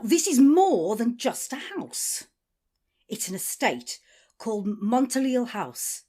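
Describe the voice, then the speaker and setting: Woman acting a commanding, high-voiced female leader. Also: darkish tone. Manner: authoritative, swearing, shifts from supportive to domineering. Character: commanding female community leader, confiding yet ruthless. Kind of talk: audio drama acting.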